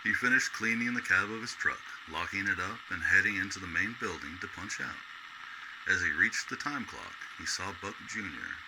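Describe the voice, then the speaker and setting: A man's voice: deep voice, animated, normal speed, background noise. A man giving scripted storytelling narration for a radio play.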